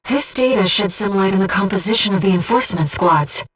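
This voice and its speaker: A gentle disembodied female being serves as a devoted mission guide in a video game. Her medium-pitched voice is composed and earnest, with precise phrasing, and sits a little away from the mic. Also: lively intonation, brisk, slightly rough, far from the mic, poor audio. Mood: calm, focused.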